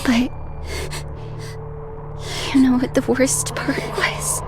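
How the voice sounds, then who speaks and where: Horror game role: haunted young girl, high voice, very slow halting breathy delivery, tearful and scared, over music. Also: level tone. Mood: anguished. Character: frightened, tormented young girl haunting a convent. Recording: music behind the voice.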